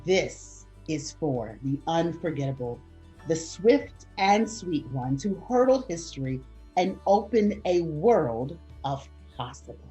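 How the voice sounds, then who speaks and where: A woman reading a picture book aloud as a guest reader, with measured, deliberate phrasing. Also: low voice, animated, mellow, poor audio. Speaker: school principal, guest reader. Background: background music.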